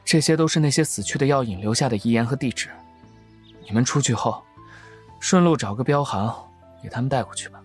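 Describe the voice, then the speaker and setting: An actor playing a weary, gentle young male cultivator in an audio drama, with a bittersweet manner. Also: medium pitch, animated, brisk, breathy edge, soft background music. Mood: calm.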